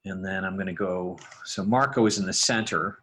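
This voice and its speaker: A man narrating a casual software tutorial, informally thinking aloud as he works. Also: sits low, moderate pace, husky. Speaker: podcast creator and sound designer. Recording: background noise, mouse clicking.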